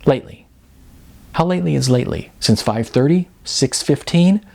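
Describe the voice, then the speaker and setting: A man's voice: a little high, lively intonation, normal speed, vocal fry, darkish tone, voice pushed up. A man voicing a confused, hurt young woman in a novel, sarcastic and stammering as she repeats words back in disbelief. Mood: incredulous, upset. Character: confused, hurt young actress being dumped, incredulous and sarcastic.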